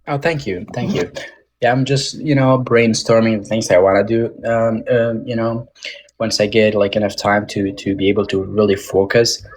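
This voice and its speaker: A young man with a somewhat low voice talks in a halting, casual way. He restarts often and leans on fillers as a podcast guest. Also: fairly even intonation, a little hiss or hum. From Tajik American, born in Moscow. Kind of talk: casual interview talk.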